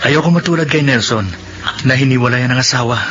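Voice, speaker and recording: A bright, forceful male voice of middle pitch in muffled drama audio. An actor plays a devoted Filipino husband, caring and earnest, in a radio soap. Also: quick pace, slight background noise, lightly acted. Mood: tender, earnest. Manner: earnest, urgent. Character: devoted husband, steady, caring overseas worker and loyal friend.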